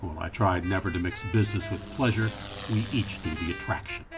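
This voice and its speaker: Casting: man as hard-boiled noir detective, low voice, dry and clipped wit, radio drama with music behind. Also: fast. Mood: wry, brooding. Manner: deadpan, terse. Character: wry hard-boiled male private eye. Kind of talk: film noir first-person radio narration.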